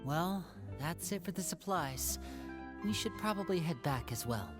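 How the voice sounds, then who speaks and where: Low-voiced, composed young agent. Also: female voice, animated, a little slow, over music. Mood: polite, composed. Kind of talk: video game voice acting.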